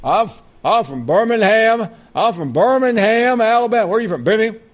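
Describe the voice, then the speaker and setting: Southern man hosting sports talk radio. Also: high-pitched. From American South. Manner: quick, animated, jokey banter with callers. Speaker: radio host.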